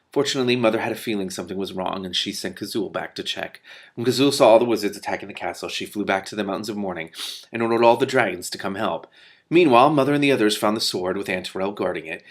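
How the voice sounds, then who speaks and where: A man reading a fantasy book aloud as a narrator, adding comments of his own. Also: fairly low pitch. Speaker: reader and commentator. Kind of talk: expressive book read-aloud with off-script commentary.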